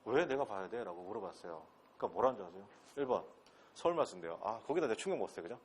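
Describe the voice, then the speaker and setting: A man's voice: on the low side, sing-song, racing, husky. A man with a Gyeongsang accent from Pohang giving a classroom lecture as an English instructor. He talks bluntly and casually, with crude slang, and keeps throwing rhetorical questions at his students. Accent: Gyeongsang dialect. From Pohang, South Korea. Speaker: English instructor at a transfer-exam academy.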